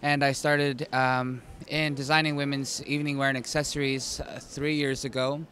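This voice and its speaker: A man from Arizona introducing his evening wear line at a press junket, halting with many fillers. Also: medium pitch, little pitch movement, a bit bright, a little hiss or hum. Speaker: fashion designer. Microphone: handheld mic.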